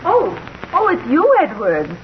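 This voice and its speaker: An actress playing a meek, worrying, devoted sister with a flustered, coaxing manner, in 1940s radio style. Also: female voice, sits high, huge pitch swings, takes it slowly, exaggerated voice. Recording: muffled, hissy old radio recording.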